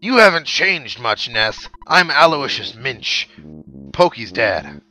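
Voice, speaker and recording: A man acts out a boastful middle-aged father from a video game. The delivery is booming and forceful, swollen with self-importance. He sounds thoroughly smug about his new wealth. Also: sing-song, takes it slowly, soft background music, full character voice, low-quality recording. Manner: pompous, booming. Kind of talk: video game character read-aloud.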